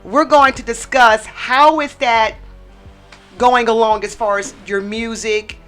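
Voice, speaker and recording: A woman hosting a live radio interview, chatty and teasing, with quick asides. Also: fairly high pitch, fairly even intonation, fairly bright tone, soft background music. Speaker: radio host.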